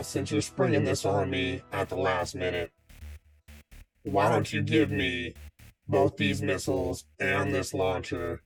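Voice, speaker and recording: A man playing a cool, shrewd underworld weapons buyer in a tabletop role-play, negotiating in a measured, businesslike way. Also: low-pitched, poor audio. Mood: cool, bargaining.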